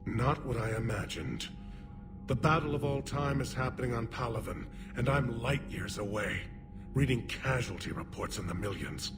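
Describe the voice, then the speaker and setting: A man playing a weary but resolute alien military leader in a video game, formal, solemn and measured. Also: mid-range voice, music in the background, close to an everyday voice. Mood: grim, sorrowful. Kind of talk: dramatic video game acting.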